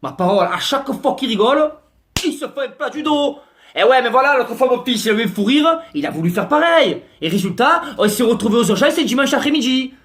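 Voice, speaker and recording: A man's voice: very high voice, expressive, brisk, a bit bright. A man doing a slangy, exasperated comic rant to camera. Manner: slangy, exasperated, mock-outraged. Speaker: comic vlogger.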